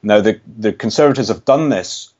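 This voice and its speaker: A man's voice: fairly low pitch, a little mellow, muffled recording. A Scottish man, an economist guest on a podcast, explaining policy carefully and restarting his sentences often. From Scotland. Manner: measured, thinking aloud with frequent restarts. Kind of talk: podcast interview answers.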